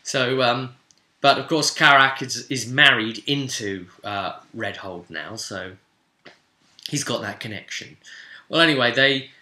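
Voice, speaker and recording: Male game master, very bright forceful voice, chatty rambling storyteller, roomy echoing recording. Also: mid-range voice, a little slow. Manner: rambling, conversational asides. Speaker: game master recapping a tabletop role-playing session. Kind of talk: casual spoken story recap, vlog.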